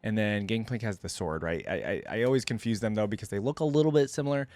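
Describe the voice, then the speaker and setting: A man's voice: lowish voice, fast talker, a little mellow, no echo. A young adult man, giving chatty, enthusiastic reaction commentary as a video host.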